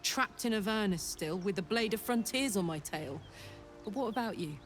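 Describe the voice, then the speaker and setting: A woman's voice: medium pitch, expressive, moderate pace, faint music behind, lightly acted. A woman playing a brash, hearty tiefling warrior in a video game, with a blunt, sweary, exuberant manner. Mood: reflective. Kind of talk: video game character dialogue.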